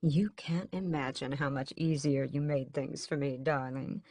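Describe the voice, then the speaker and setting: A woman's voice: very deep voice, steady tone, a little slow, warm tone, no room sound, studio quality, pitched below the performer's own voice, heavily acted. Woman voicing a drawling, sinister male vampire. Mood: mocking. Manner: drawling, casual menace. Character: suave, sinister male vampire captor, languid and mocking.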